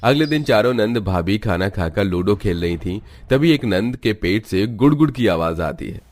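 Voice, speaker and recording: Low-voiced man narrating a cartoon story. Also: animated, normal speed, darkish tone.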